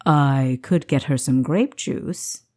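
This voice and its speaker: Slow, courtly gentleman host, voiced by a woman. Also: a bass voice, voice pushed down, exaggerated voice. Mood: gracious. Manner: formal, grandly gracious. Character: genial, courtly male doctor hosting a dinner.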